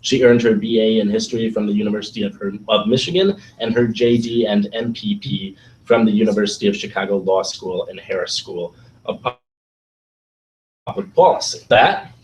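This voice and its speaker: Raspy guy moderating a panel, lowish voice, kinda far from the mic. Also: normal speed, slight background noise. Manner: reads guest bios with fillers and small slips. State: recovering from illness.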